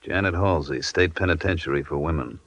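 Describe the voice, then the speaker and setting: An actor playing a suspicious, scheming American crook, hard-bitten and accusing, in 1940s radio style. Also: male voice, extremely low pitch, fairly quick, fairly bright tone, highs cut off. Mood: grimly suspicious. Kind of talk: 1940s radio crime drama acting.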